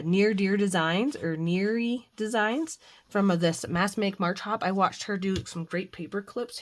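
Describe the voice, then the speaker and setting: A middle-aged woman, hoarse from the flu, chatting casually and rambling a bit as she hosts a faith and crafting video at home. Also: medium pitch, a little hiss or hum. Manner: chatty, rambling with frequent fillers. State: hoarse, recovering from flu with a cough. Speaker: craft channel host. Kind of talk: casual devotional and crafting chat.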